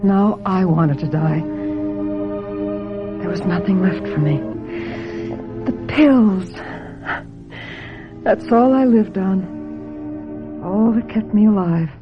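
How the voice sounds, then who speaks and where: A dull, band-limited old radio drama recording with music, featuring a woman acting as a fragile film actress racked with guilt. She speaks slowly and haltingly, with a breathy, melodramatic edge. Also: mid-range voice, natural voice. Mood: despairing, guilt-ridden.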